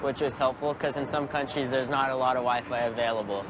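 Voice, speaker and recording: A man's voice: mid-range voice, steady tone, quick pace. A teenage boy, a guest answering interview questions in a matter-of-fact way. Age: teens. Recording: clear traffic noise.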